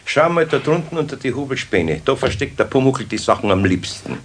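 An actor playing a gruff but kindly older master carpenter in a children's radio play, homely and wry, with a Bavarian accent. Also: male voice, mid-range voice, lively intonation, a little fast, slight background noise, natural voice. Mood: wry, teasing.

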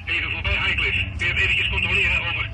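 An actor playing a curt, sarcastic police sergeant in a radio drama, heard over a two-way radio. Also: male voice, high-pitched, little pitch movement, very fast. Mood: sardonic. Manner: curt, sardonic. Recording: loud static on police radio.

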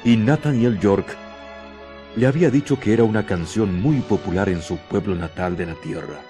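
A man narrating a radio drama in a measured, suspenseful manner, with deliberate pauses between short phrases. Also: fairly low pitch, warm tone. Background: music.

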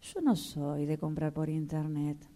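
A woman's voice: low voice, measured pace, mellow. A woman playing a gullible, superstitious, easily indignant woman with an Argentine accent in a radio drama, telling her troubles emphatically. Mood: confiding.

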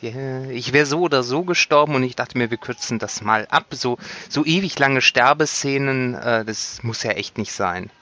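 A man co-hosting a casual podcast, cracking jokes in a deadpan way. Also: mid-range voice, moderate pace, hardly any pauses, lo-fi sound. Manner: deadpan, joking. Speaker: podcast co-host.

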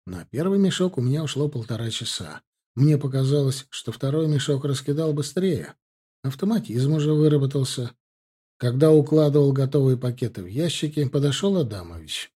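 A man's voice: mid-range voice, lively intonation, brisk, warm tone, breathy edge. A man reading a first-person story aloud as scripted audiobook narration. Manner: acts out dialogue with lifted, livelier delivery.

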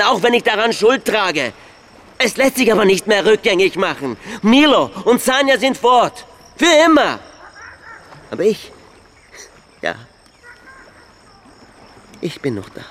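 An actor playing a grieving, guilt-ridden river trader in a radio play, plaintive, halting and insistently pleading. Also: male voice, on the high side, slight background noise, natural voice. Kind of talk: German radio play acting.

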